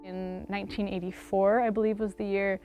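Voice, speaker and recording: A woman's voice: medium pitch, little pitch movement, a little fast, over music. A woman, explaining things in an interview as a member of a theater.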